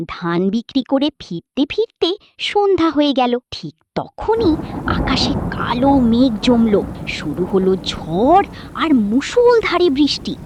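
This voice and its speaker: A woman's voice: high voice, darkish tone. A woman narrator reading a scripted children's folk tale. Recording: dull audio with clear background noise.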